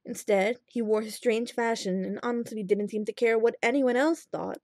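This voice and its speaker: A woman reading fan fiction aloud as an expressive scripted narration. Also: a little high, moderate pace, dry recording, clean studio sound.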